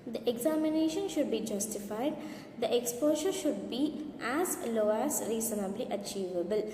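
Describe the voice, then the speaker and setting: A woman's voice: fairly high pitch, animated, normal speed, dark tone. A woman lecturer in radiography, reading a lecture carefully from notes with small slips. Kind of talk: read-out lecture. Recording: echoey room with long reverb, faint noise.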